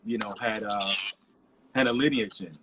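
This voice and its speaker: A man's voice: on the low side, fairly even intonation, moderate pace, background noise. A man, a resident DJ, telling stories in a loose, rambling way full of "you know" over a video call. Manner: laid-back, rambling, many "you know" fillers. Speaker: resident DJ guest. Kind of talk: casual storytelling interview.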